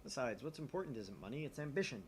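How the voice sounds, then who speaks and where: A man's voice: mid-range voice, big pitch swings, fast talker, a little hiss or hum, close to an everyday voice. Man playing a grandiose young mad scientist, dramatic swings. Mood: pompous. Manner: pompous, dramatic declaiming. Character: grandiose young self-styled mad scientist, male student.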